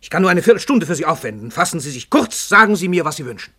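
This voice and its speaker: An actor playing an imperious, irritable, self-important famous author in a radio play, pompous and brusque. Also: male voice, on the high side, sing-song, fast talker, sharp tone, heavily acted. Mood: haughty, impatient.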